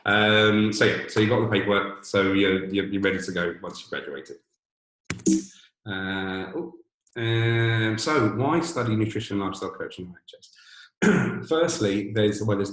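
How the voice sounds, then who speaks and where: A man with a boomy voice presents a webinar on a video call from an empty borrowed office, talking hesitantly with many restarts and fillers. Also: fairly bright tone, echoey room, poor audio. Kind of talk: informational webinar talk. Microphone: computer mic on a video call.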